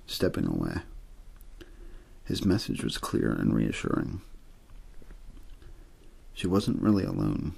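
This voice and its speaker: A man reads a horror novel as a bedtime ASMR story. His voice is very low and heavily creaky, with a husky rasp underneath. The delivery is understated and untheatrical, though his pitch moves around noticeably as phrases fall away. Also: darkish tone. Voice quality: gravelly, husky. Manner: low-key, untheatrical narration. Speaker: audiobook-style story reader.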